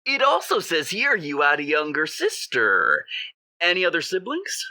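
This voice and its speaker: Swooping, bright, needling radio co-host, acted out. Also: mid-range voice, wildly swooping pitch, normal speed, dry recording, exaggerated voice. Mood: presenting. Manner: showy presenter delivery, teasing. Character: smooth-talking, mock-friendly radio co-host, quietly needling. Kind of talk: audio drama in radio show style.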